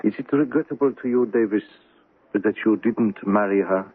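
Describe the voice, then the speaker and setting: An actor playing an elderly, courteous, philosophical gentleman in a radio drama, speaking formally and deliberately. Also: lowish voice, husky, phone-quality sound. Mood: grave, thoughtful. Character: elderly, philosophical Chinese gentleman, dry and courteous club member. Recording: old radio broadcast sound.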